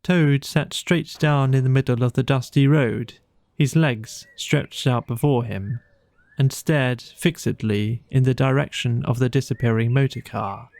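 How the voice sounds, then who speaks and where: A man narrating a bedtime storybook in a measured, expressive storytelling manner. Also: medium pitch, gravelly, no echo. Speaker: audiobook narrator.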